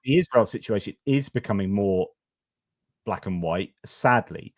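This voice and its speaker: A man's voice: on the low side, animated, measured pace, no room sound, clean studio sound. Tipsy, joking man hosting a live chat. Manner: joking, rambling, self-mocking. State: tipsy from wine. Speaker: livestream host reading viewer comments.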